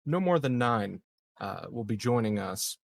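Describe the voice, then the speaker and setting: A man playing a calculating colonial leader in a tabletop game, speaking formally and haltingly like a careful politician. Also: mid-range voice, expressive, vocal fry. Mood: measured, guarded. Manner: formal, measured, halting diplomatic phrasing. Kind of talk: improvised tabletop roleplay dialogue.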